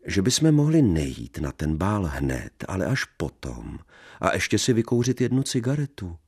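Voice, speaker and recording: A man's voice: deep voice, very animated, a little mellow, breathy edge, voice pushed up, full character voice. A man voicing a bored, lazy young wife who coaxes and wheedles like a little girl. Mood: coaxing lazy.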